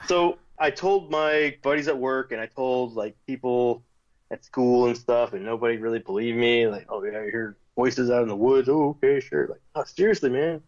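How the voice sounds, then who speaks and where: A man guest telling a personal story in casual talk, animated when quoting others, with restarts. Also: mid-range voice, normal speed, dull audio. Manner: animated retelling with quoted voices, restarts.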